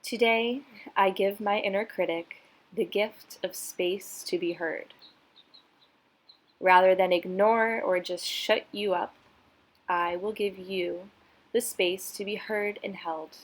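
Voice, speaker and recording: Woman, meditation guide, slow pace, mid-pitched voice, soothing instructional delivery. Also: steady tone.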